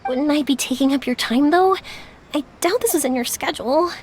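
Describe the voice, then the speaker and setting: A woman's voice: high-pitched, fairly quick, slight background noise, close to an everyday voice. A woman voicing an exuberant, chatty young artisan woman in a video game. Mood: curious. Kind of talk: English video game voice acting. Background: faint game music.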